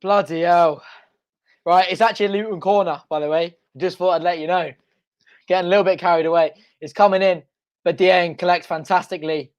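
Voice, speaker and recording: A young man hosting a live football watch-along from home, excitable and shouting, his voice going hoarse. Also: high voice, a little fast. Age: young adult. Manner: excitable, shouting and chanting at goals. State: losing his voice. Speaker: fan channel host. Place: home front room.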